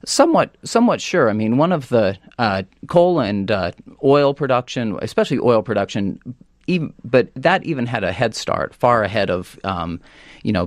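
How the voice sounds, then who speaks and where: An American man speaking as a policy guest on a radio talk show, often halting and rephrasing as he goes. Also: medium pitch, no room sound, studio quality. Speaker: energy policy director, panel guest.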